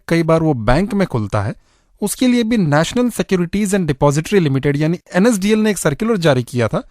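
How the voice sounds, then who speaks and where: Higher-pitched Indian man hosting radio. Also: a little mellow, dry recording, clean studio sound. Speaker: radio show host. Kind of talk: scripted radio narration.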